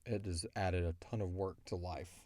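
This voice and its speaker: A man's voice: sits low, steady tone, normal speed, darkish tone, no echo, clean studio sound. A man hosting a podcast in casual question-and-answer chat, rambling along with self-deprecating jokes. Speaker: podcast host and creator.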